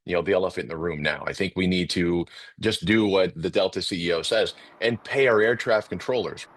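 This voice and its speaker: A man's voice: deep voice, brisk, no room sound. A man with an American accent speaking as a union representative in interview soundbites.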